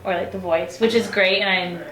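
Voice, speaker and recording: A woman's voice: medium pitch, steady tone, normal speed, a bit bright, echoey room. A young woman, a singer-songwriter, chatting casually in an interview, filling her talk with "like.". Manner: chatty, many "like" fillers.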